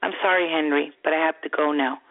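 A woman's voice: low-pitched, steady tone, fast, gravelly, dry recording. Reader doing a troubled, evasive young woman in a romance novel, tinny phone audio. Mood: distressed, apologetic. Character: distressed, evasive young woman wanting to leave. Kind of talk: audiobook-style romance reading. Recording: tinny phone-like radio line.

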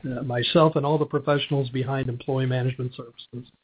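Male business guest, middle-aged, American accent, husky mid-pitched voice, conversational, narrow phone-like audio. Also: steady tone, fast. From Wisconsin, United States. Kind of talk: conversational interview answers.

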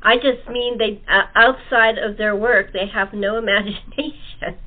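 Woman host, mid-pitched, chatty and halting, casual group conversation over narrow, thin call audio. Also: steady tone. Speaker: talk show host.

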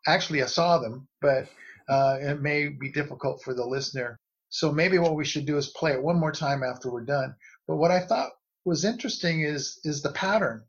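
A man giving casual explanatory commentary as a guest analyst, measured and tentative, on a slightly lo-fi recording. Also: a little high, slightly rough. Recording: slightly dull, lo-fi sound.

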